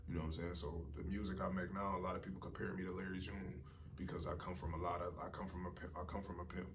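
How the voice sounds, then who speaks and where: A young man, a rapper, talking casually in an interview with loose slang and a deep voice. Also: flat delivery, fast talker, far from the mic. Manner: laid-back, loose slang, repeats "you know what I'm saying".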